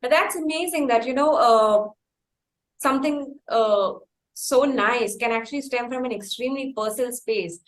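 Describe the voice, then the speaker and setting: A woman with an Indian English accent hosting a conversational interview, effusive and wordy, with halting phrasing. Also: on the high side, low-quality recording. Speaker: podcast host interviewing musicians.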